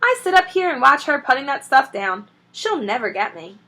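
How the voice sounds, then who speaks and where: A woman's voice: sits high, very animated, normal speed, sharp tone, voice pushed up, heavily acted. A woman reading a story and voicing an angry, possessive male rat, shrieking with scornful indignation.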